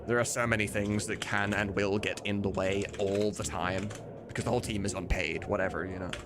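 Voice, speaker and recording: A man's voice: fairly low pitch, fairly even intonation, fairly quick, noisy background. A man livestreaming in a casual, rambling, sweary chat, often amused by himself. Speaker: live streamer and game modder.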